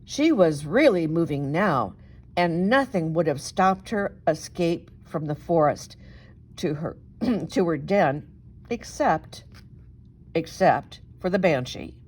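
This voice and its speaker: A woman reading a children's story aloud as an expressive narrator, with dramatic, deliberate emphasis. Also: fairly low pitch, slightly rough. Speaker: storybook reader, reverend.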